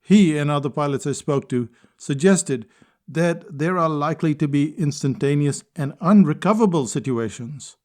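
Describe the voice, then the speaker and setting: A man calmly delivering a reflective spoken essay, telling a personal story at a measured pace with deliberate pauses. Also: on the high side. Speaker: speaker and consultant.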